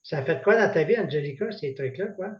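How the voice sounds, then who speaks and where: Québécois host, casual and rambling, roomy far-off dull recording. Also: male voice, on the high side, expressive, a little fast. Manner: informal, repetitive, many fillers. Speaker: event host. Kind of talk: casual hosting of an online meeting. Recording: echoey, distant, dull sound.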